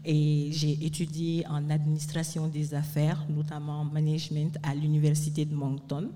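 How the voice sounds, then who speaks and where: A woman's voice: low-pitched, monotone, moderate pace, warm tone. A woman from Mali, speaking conversationally as a guest at a live event. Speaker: panel guest, author. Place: live recording in a university bar. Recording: reverberant room, live event recording.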